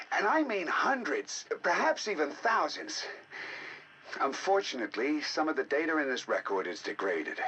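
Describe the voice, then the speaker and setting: An actor playing a learned, eager researcher, narrating game audio logs in a measured, articulate, intrigued manner. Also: male voice, medium pitch, pushed and bright, a little hiss or hum, full character voice, rough recording. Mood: fascinated, eager. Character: learned male researcher, eager and fascinated by alien ruins.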